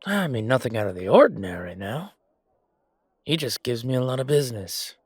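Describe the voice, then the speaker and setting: A man's voice: medium pitch, very animated, moderate pace, husky, no echo, clean studio sound. A man voicing a chatty, self-satisfied merchant in a fantasy audio roleplay, with a casual, drawling, hesitant way of talking. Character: male merchant, chatty, self-satisfied, grumbling royal supplier.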